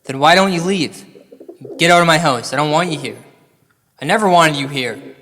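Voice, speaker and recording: A man's voice: on the high side, normal speed, fairly bright tone, a little hiss or hum. A man performing a comic recital and monologue in a dramatic, exasperated manner. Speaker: comic storyteller. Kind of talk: theatrical poem recital turning into exasperated monologue. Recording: long reverb, like a large hall.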